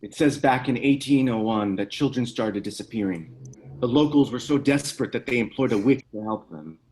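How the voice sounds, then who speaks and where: A man playing an earnest, uneasy researcher of dark lore in a screenplay table read, explaining things hesitantly. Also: medium pitch, normal speed, close to an everyday voice, rough recording. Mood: hesitant, grave.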